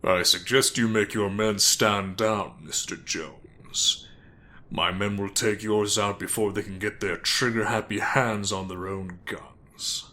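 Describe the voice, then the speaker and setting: An actor playing a commanding mob boss, formal and measured with quiet threat, in a dramatic audio role-play. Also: male voice, on the low side, fairly even intonation. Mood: calm threat.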